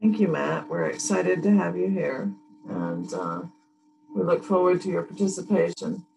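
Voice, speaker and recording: Woman running a zoom workshop, bit of vocal fry, faint hiss behind her. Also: on the low side, lively intonation, moderate pace, darkish tone, poor audio. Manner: friendly, thanks each speaker. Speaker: workshop host. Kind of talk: video call moderation. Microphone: video call.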